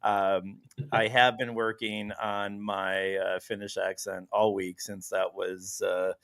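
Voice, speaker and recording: A man's voice: fairly low pitch, little pitch movement, slow, creaky, fairly bright tone, no echo. A man hosting a casual sports podcast who jokes, laughs a lot and swears now and then. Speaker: podcast host.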